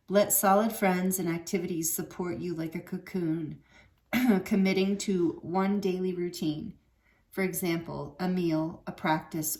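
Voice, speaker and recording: A woman with a slightly rough throat giving a casual tarot card reading, rambling and correcting herself with many fillers. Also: on the low side, darkish tone. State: throat a little rough from fighting off a cold. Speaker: tarot reader.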